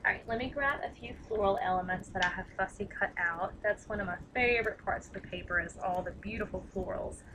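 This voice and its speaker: A woman with a tired, slightly raspy voice chatting casually through a craft tutorial, rambling and self-deprecating as she works. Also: medium pitch, brisk, hardly any pauses, noisy background. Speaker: craft demonstrator.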